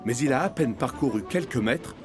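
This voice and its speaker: A man's voice: mid-range voice, lively intonation, brisk, loud music behind the voice. A man narrating a scripted children's cartoon story as the storyteller.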